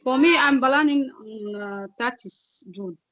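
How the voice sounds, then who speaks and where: A woman's voice: on the high side, measured pace, a bit bright, highs cut off. A woman from Somalia taking part in an online exam prep session, speaking politely and briefly on a video call. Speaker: session participant. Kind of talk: casual video call exchange.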